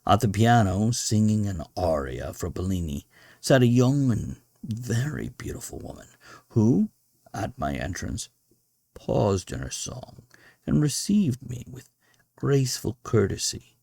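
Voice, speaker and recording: A man with a deep, husky voice narrates a classic story in measured, deliberate phrases. His pitch moves up and down expressively as he reads, in the manner of an audiobook. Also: big pitch swings, warm tone, no echo, studio quality. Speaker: podcast host reading classic literature aloud. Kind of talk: audiobook-style narration of a gothic short story.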